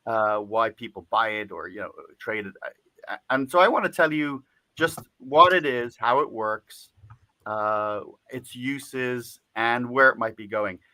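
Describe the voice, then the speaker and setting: A man's voice: medium pitch, measured pace, bright, forceful tone, no room sound. A man being interviewed as an author, speaking casually with lots of fillers, restarts and little laughs. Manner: chatty, many fillers and restarts, laughs often. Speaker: guest author.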